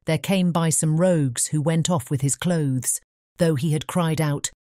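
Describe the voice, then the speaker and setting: Low-voiced British woman narrating. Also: a little slow, dark tone, dry recording, clean studio sound. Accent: British English. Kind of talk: scripted fairy-tale narration.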